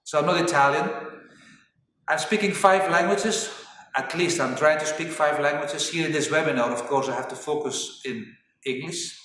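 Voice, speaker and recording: A man in his fifties from Belgium, a native Flemish speaker with a Flemish accent, presenting a corporate webinar in a deliberate, explanatory way. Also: fairly high pitch, a bit bright. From Belgium (Flanders). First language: Flemish Dutch. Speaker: webinar presenter, safety engineering trainer. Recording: very echoey reverberant room, distant mic.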